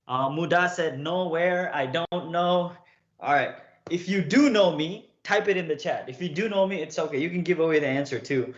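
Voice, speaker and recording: Young man from Nepal, casual livestream host, slightly raised pitch, chatty and checks in with viewers often; roomy, muffled sound. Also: moderate pace. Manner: chatty, keeps checking in with "okay?" and "right?". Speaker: streamer coaching viewers.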